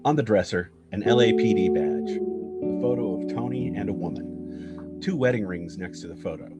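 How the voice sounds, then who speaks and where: Low-voiced man narrating over guitar music. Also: normal speed, muffled recording. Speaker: narrator reading stage directions. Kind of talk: scripted screenplay narration, clipped phrases. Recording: guitar music bed under the voice.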